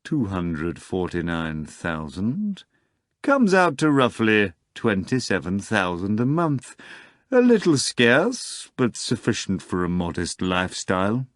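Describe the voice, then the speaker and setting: A man's voice: fairly low pitch, wildly swooping pitch, measured pace, dry recording, studio quality. A man voicing a suave, condescending male magician in an audiobook reading. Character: suave, condescending foreign magician, an older devil.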